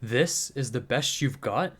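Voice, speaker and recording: A man acts out a curt, doubting agent for a radio play. He speaks at a medium pitch in terse, questioning phrases. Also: a little slow, a bit bright, slight background noise, close to an everyday voice. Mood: skeptical, curt. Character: skeptical, curt male agent questioning colleague. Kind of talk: radio drama acting, terse interrogation.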